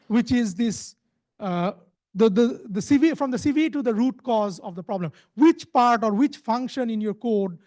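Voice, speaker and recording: High-pitched man giving a halting conference talk. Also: darkish tone. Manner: halting, repeats words and restarts phrases often. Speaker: conference speaker, company founder. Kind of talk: conference presentation.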